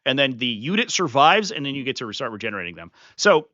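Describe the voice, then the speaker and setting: Lively, bright-voiced stream host, sniffly. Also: male voice, mid-range voice, quick pace, no echo. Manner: animated, chuckling, filler-heavy. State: sniffly with a runny nose. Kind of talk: casual live strategy breakdown. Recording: slightly muffled, dry sound.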